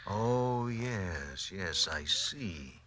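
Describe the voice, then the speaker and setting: A man playing a skeptical asylum doctor in an old radio drama, hesitant, measured and politely placating. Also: deep voice, lively intonation, bright, forceful tone, heavily acted.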